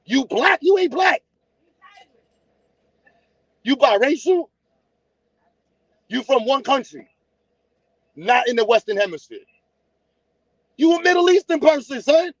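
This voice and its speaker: A blunt, teasing man talks straight to his viewers on a casual livestream. His voice sits high and carries no room echo, picked up dry and close. The sound is dull and lo-fi. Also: animated, slow pace, pauses often. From United States. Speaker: livestream host.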